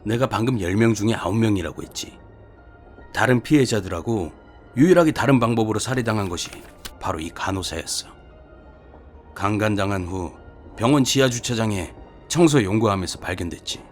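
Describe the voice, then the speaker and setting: A man voicing a gruff, chatty senior detective in an audio horror story, speaking in a blunt, colloquial, emphatic way. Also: on the low side, lively intonation, brisk, faint music behind, close to an everyday voice. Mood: grave, emphatic. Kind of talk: dramatized horror short story reading.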